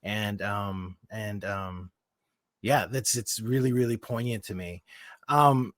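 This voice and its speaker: Guy interviewing someone, casual, kinda halting, repeats words while he thinks, dry close audio. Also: on the low side, fairly even intonation, fairly bright tone, no room sound. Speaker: interviewer, host.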